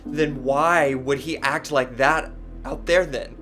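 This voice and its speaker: Guy acting as wounded skeptical younger brother, highish voice, film drama with music under it. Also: fairly even intonation, slow pace, sharp tone. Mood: hurt.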